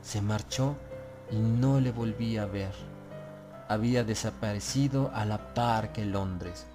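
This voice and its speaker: A man narrating a gothic tale as an audiobook, in a solemn, measured, literary delivery with phrases that fall away at the end. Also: lowish voice. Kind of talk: gothic horror story narration in Spanish, first person. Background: background music.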